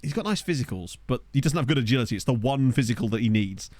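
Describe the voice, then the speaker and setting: A man's voice: medium pitch, animated, fast, a little mellow, no room sound. Chatty man streaming a game. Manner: chatty, rambling, laughs often, reacts aloud to players. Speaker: gaming streamer. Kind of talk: casual gameplay commentary. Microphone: desk microphone.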